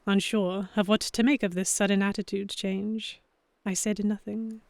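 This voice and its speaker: A woman's voice: medium pitch, flat delivery, moderate pace, darkish tone, dry recording, natural voice. Woman acting earnest heroine narrator, close dry sound. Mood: introspective. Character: earnest, anxious young woman tending a pirate's wounds. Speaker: narrator and lead character. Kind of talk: first-person dramatic audiobook-style narration.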